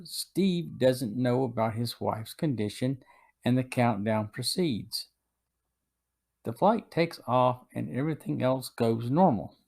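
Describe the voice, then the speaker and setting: Podcast guy reading his review script, steady even voice, middle pitch. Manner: steady, even read-aloud delivery. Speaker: podcast host.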